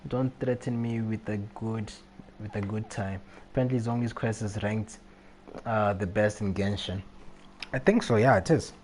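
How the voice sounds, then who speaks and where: A man's voice: on the low side, fairly even intonation, normal speed, darkish tone, a little hiss or hum. A man talking casually as a live game streamer, rambling and often repeating his phrases. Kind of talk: casual gaming livestream chat.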